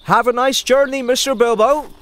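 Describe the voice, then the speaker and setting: A man's voice: very high-pitched, steady tone, normal speed, a bit bright, slight background noise. A man voicing a humble, friendly middle-aged hobbit gardener, deferential and chatty, in an audio drama. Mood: cheerful farewell. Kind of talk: audio drama character acting.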